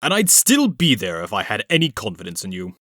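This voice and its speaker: A man's voice: on the low side, huge pitch swings, a little fast, a bit bright, dry recording, clean studio sound, heavily acted. A man playing a haughty, ruthless, wealthy villain in a comic dub, sneering and articulate. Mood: scornful.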